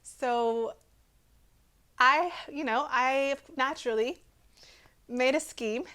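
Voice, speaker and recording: A woman's voice: high voice, takes it slowly, bright, forceful tone, clear, resonant tone. A woman in her twenties with an American accent, giving a personal testimony to camera in an earnest, hesitant way. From Texas, USA. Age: 20s.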